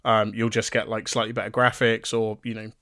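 A man's voice: fairly low pitch, level tone, a little fast, fairly bright tone, no echo, studio quality. A man co-hosting a podcast, chatting casually with plenty of ums and restarts while he is losing his voice a little. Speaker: podcast co-host.

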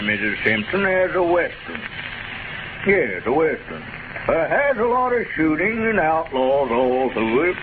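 An actor, pompous, hesitant and oily in his flattery. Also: a little high. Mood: sanctimonious, conniving. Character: scheming, sanctimonious small-town squire, folksy busybody. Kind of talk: 1930s radio comedy acting. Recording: scratchy muffled 1930s radio broadcast, heavy noise.

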